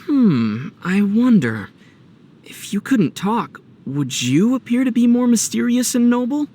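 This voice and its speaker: A man playing a gentle, thoughtful young adventurer in a video game, calm and lightly teasing. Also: extremely high pitch, a little slow, heavily acted. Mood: playful, teasing.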